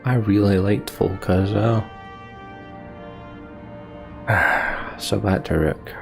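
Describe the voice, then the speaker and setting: A man's voice: lowish voice, takes it slowly, creaky, faint music behind. A man reading game text aloud in a let's play, with frustrated asides. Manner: plain narration, frustrated asides.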